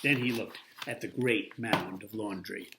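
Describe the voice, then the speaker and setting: A man reading a picture book aloud as a storyteller, with a lively, expressive delivery. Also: fairly low pitch, a little slow, vocal fry, noisy background.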